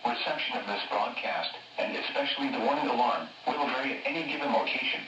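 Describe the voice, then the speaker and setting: A synthetic male announcer reading a scripted public service message in a formal, measured, impersonal way on weather radio. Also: medium pitch, expressive, sharp tone, highs cut off. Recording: roomy, noisy weather radio broadcast captured from a distance.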